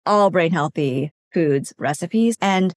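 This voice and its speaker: A woman's voice: on the low side, expressive, takes it slowly, dry recording, clean studio sound. A woman from California, a dietitian on a podcast, explaining food and nutrition in a practical, step-by-step way. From California, USA. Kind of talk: conversational expert explanation.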